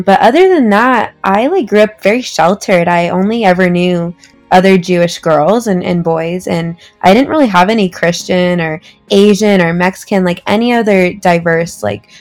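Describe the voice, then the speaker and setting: A woman's voice: lowish voice, a little mellow. A young woman from Southern California, a podcast guest telling her story in a friendly, casual way with frequent likes. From Orange County, California. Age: young adult. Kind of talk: casual interview chat.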